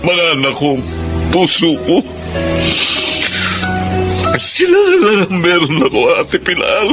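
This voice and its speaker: An anguished father, swooping pitch. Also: huge pitch swings, music in the background, exaggerated voice. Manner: halting, repeats words in anguish. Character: grieving Filipino father, loving, anguished, bitterly reproachful. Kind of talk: emotional radio drama acting.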